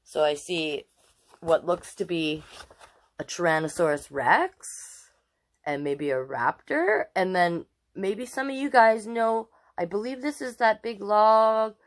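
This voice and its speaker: A woman in her late forties hosting storytime, reading aloud to children with expressive emphasis and chatty asides. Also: fairly low pitch. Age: late 40s.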